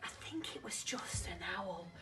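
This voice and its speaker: A woman voicing a curious, gentle young male puppy in a children's storybook, earnest and childlike. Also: mid-range voice, sing-song, measured pace, whispered, distant mic, soft background music. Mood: hushed, startled.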